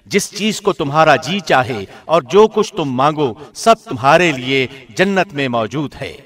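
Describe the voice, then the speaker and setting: A man reading a scripture translation from a script in a formal, solemn radio presenter's style with deliberate enunciation. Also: fairly high pitch, expressive, a bit bright. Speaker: translation reader, radio host.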